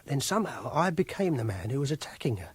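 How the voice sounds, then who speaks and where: An actor playing an obsessive, lonely, self-pitying English clerk, confiding haltingly in a first-person radio drama monologue. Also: male voice, mid-range voice, sing-song, slightly rough, dry recording. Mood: dreamy, obsessive.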